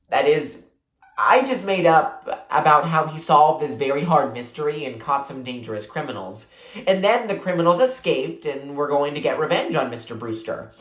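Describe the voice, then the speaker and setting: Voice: medium pitch, natural voice. A reader voicing a shy, imaginative sixth-grade girl from a children's storybook, hesitant and earnest. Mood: explaining. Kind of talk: children's storybook character read aloud. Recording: roomy, echoing sound.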